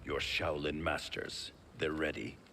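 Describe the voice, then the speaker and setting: An actor playing a stern, wary warrior god in a video game, with a gravelly voice and a grave, commanding manner. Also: male voice, a bass voice, flat delivery, unhurried, sharp tone, slight background noise, full character voice. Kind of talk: video game cutscene acting.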